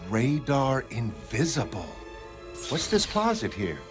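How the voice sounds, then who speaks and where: A man's voice: mid-range voice, huge pitch swings, takes it slowly, over music, pitched above the performer's own voice. A man voicing a frank, curious and wry American woman, a former costumed heroine, in a motion comic, with a blunt, teasing manner. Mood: curious, surprised. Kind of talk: dramatic motion comic character acting.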